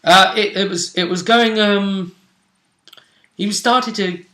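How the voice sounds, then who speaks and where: A man's voice: high voice, fairly even intonation, takes it slowly, pushed and bright. A man in an echoey room talks casually as a game master going over a tabletop role-playing game. His delivery is conversational, and the room rings noticeably around his voice.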